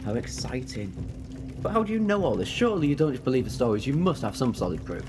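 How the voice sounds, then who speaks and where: An eccentric time-travelling Doctor, acted by a man, whimsical and defiant in an audio adventure. The pitch swings widely through playful, theatrical rambling, with faint noise behind the voice. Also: big pitch swings, quick pace, natural voice. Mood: determined, quirky. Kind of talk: audio drama acting.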